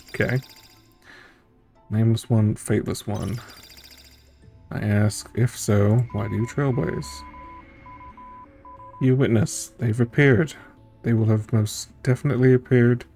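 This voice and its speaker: A man's voice: medium pitch, mellow. A man streaming a story game, reading its text aloud and adding casual, musing reactions as he thinks it through. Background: game music.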